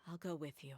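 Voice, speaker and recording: An actress playing a tough, self-reliant mercenary in a video game, cool and matter-of-fact. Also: female voice, sits low, fairly bright tone, slight background noise, full character voice. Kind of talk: dramatic video game acting.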